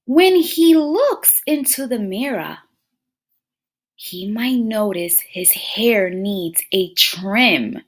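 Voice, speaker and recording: Animated woman reading aloud to children. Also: medium pitch, very slow. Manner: expressive, addresses listeners as friends and scholars, checking in with questions. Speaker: teacher reading a picture book aloud. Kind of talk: children's read-aloud with questions to young listeners.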